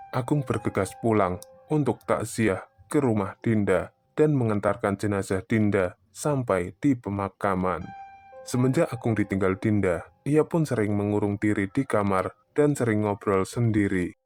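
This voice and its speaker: A man's voice: fairly low pitch, moderate pace, darkish tone, faint music behind. A man reading scripted narration for a story.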